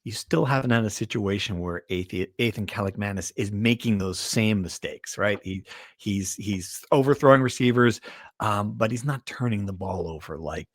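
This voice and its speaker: A man's voice: on the low side, moderate pace, no room sound, studio quality. A man co-hosting a sports podcast, talking through games in a casual, conversational style.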